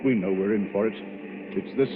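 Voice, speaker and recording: A man's voice: on the low side, moderate pace, natural voice. A man playing an irritable, anxious rich businessman in a 1940s radio drama, blustery in manner. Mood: nervous dread. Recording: tinny, noisy old radio recording.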